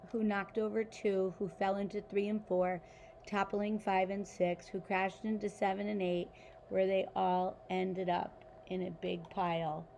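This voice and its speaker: Slow, creaky-voiced woman reading a storybook. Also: mid-range voice, slight background noise. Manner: animated, deliberate, pauses to ask listeners questions. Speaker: teacher reading a picture book aloud to children. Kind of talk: expressive children's storybook read-aloud.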